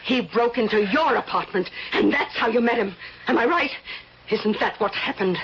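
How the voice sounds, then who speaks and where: A woman's voice: a little high, moderate pace, vocal fry, fairly bright tone. An actress playing a domineering, prying, spiteful older rich woman in a radio drama, with an imperious, needling manner. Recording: muffled, hissy old radio drama recording.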